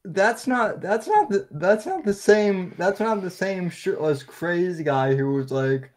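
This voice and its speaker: A man co-hosting a podcast, chiming in with quick remarks during casual chat. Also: sits high, animated, a little mellow.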